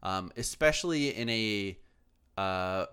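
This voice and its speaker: A man's voice: lowish voice, animated, slow, a bit bright, clean tone. Man hosting a gaming podcast, rambling. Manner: rambling, many fillers and restarts; casual swearing. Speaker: podcast host. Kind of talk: casual chat, reading listener emails.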